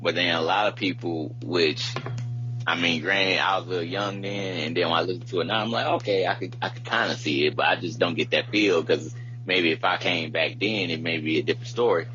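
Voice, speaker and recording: A man's voice: low-pitched, a little fast, pushed and bright, faint music behind. A man co-hosting a podcast in casual slang, often repeating his phrases as he goes. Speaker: podcast co-host. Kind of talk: casual chat. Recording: muffled lo-fi audio with hum, hiss.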